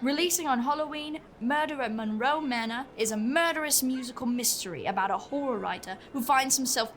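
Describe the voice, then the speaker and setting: High-pitched girl thief, bossy and fretful, played by a woman, comic audio drama, noisy background. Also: natural voice. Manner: brisk, scolding, colloquial. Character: Opal, bossy, fretful young female street pickpocket.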